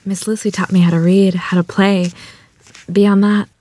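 A woman's voice: medium pitch, little pitch movement, normal speed, warm tone, no echo, natural voice, rough recording. A woman playing a modest, secretive rural wife in a dramatic script reading. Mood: modest, polite. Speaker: actress at a screenplay table read.

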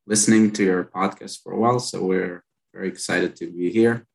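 A man in his late thirties from Israel with an Israeli accent, telling his story as an interview guest in a relaxed way with many fillers. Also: deep voice, brisk, creaky. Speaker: company founder and guest. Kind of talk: casual interview chat.

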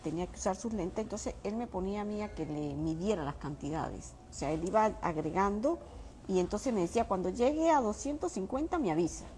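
A woman's voice: medium pitch, animated, brisk, a little mellow. A woman from Venezuela, a guest telling personal stories in a casual interview. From Mérida, Venezuela. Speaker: interview guest, small business owner. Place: restaurant. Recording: faint background noise of a restaurant.

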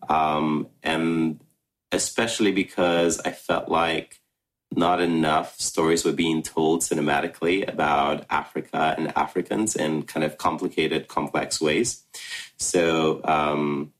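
Deep-voiced reflective man, halting speech. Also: steady tone. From Ghana. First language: Russian. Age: 30s. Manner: reflective, many ums and restarts. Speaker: filmmaker. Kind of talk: interview answers.

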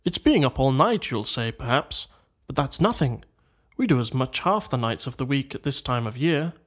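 A man voicing a gentle, weary mole in a storybook, drowsy and wistful. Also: mid-range voice, animated, normal speed. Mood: weary, wondering. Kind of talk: storybook character dialogue.

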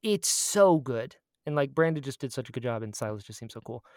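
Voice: medium pitch, animated, brisk, darkish tone, no echo, studio quality. A person chatting casually as a podcast co-host, telling stories with a fan's gushing enthusiasm and repeating phrases for emphasis. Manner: acts out quoted exchanges in storytelling.

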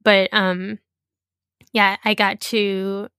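Halting young woman, dry close sound. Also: mid-range voice, little pitch movement, sharp tone, clear, resonant tone, no room sound. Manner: hesitant, many fillers and restarts. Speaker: interviewee. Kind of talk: casual personal interview.

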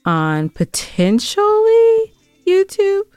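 A woman's voice: very high voice, wildly swooping pitch, drawn-out words, a little mellow, dry recording, soft background music. A woman co-hosting a podcast, chatting casually and giving announcements to listeners. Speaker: podcast co-host.

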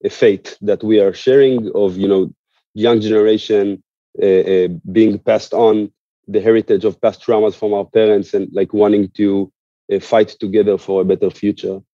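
A man speaking as an interview guest, explaining in an earnest, conversational way with halting, repeated words. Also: on the low side, little pitch movement, mellow, no echo, highs cut off. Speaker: interview guest, musician and activist.

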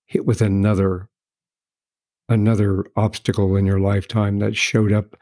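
A male interviewer with a low, mellow voice. He picks his words carefully and often stops to restart a sentence. The recording is dry and close. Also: little pitch movement, dry recording. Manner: halting, restarts, careful phrasing. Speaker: interview host. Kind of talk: conversational interview.

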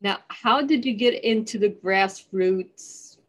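A woman's voice: mid-range voice, takes it slowly, fairly bright tone. A woman hosting a talk radio show, chatty and self-deprecating, laughing at her own slips. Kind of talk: casual interview chat.

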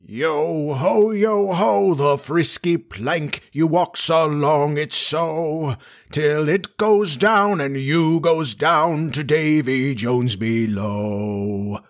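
Guy doing a storybook pirate captain villain, brazen n bossy, fake polite menace, pitched up a bit. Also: unhurried, few pauses, sharp tone, husky, full character voice. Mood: brazen, gloating. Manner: brazen, commanding, purring menace. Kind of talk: audiobook character voice.

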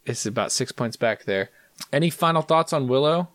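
Lively, playful male podcast host. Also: medium pitch, moderate pace, no room sound, clean studio sound. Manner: playful, self-mocking, reads out scores. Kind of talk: casual podcast chat.

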